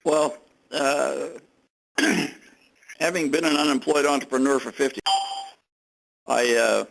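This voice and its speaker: An older businessman speaks on a conference call with a creaky, gravelly edge to his mid-pitched voice. He recounts his business in deliberate phrases, pausing between them. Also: fairly even intonation, noisy background. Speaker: company executive being interviewed.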